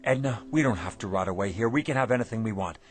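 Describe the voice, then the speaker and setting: An actor playing a struggling, world-weary shopkeeper husband in a radio drama, skeptical and hungry for more. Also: male voice, fairly low pitch, fast, close to an everyday voice. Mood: excited, greedy.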